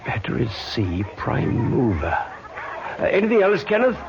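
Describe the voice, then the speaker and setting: A man playing a kindly Scotland Yard inspector in an old radio drama, patient and gently probing. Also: medium pitch, wildly swooping pitch, normal speed, vocal fry, crowd noise, background noise. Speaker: police inspector. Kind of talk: old time radio acting. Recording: muffled old radio recording.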